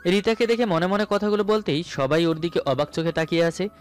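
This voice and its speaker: A man's voice: high voice, a little fast. A man narrating a romantic story dramatically in the first person, as its male lead. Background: background music.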